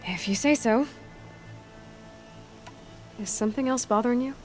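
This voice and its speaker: A woman's voice: a little high, moderate pace, darkish tone, faint music behind, close to an everyday voice. An actress playing a headstrong, curious young female outcast hunter in a video game, talking in a direct, questioning and slightly wry way. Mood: earnest. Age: young adult. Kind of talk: video game cutscene acting.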